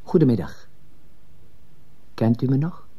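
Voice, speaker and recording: An actor playing a mild, probing priest in a radio play, gentle and wry, with a dark, husky voice. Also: male voice, medium pitch, very animated, measured pace. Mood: wry, polite. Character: mild, probing male priest in plain clothes. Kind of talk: Dutch radio play acting.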